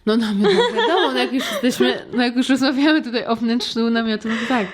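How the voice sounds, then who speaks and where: A woman's voice: fairly high pitch, animated, fast talker, crowd noise. A woman co-hosting a podcast, talking in a casual, conversational style. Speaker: podcast co-host. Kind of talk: casual chat.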